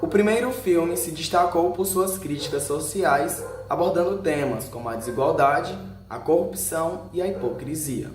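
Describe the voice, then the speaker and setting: A man's voice: a little high, moderate pace, few pauses. A Brazilian man reading a scripted narration aloud in short headed sections, occasionally stumbling over a word. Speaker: video narrator. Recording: roomy echo with faint background noise.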